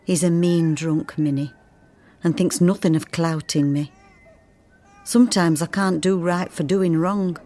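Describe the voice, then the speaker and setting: A woman's voice: lowish voice, steady tone, unhurried, mellow, natural voice. An actress playing a long-suffering working-class wife and mother of the thirties and forties, confiding in letters with weary plainness. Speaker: actor in radio drama. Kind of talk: dramatised letter reading.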